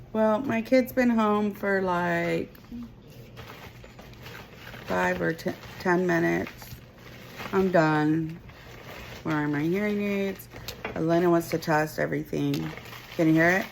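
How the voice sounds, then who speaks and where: A woman filming a casual home vlog about her family, speaking softly and quietly into a phone camera held close. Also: vocal fry, slight background noise. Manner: soft, low-volume talk that others struggle to hear. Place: at home, partly in bed.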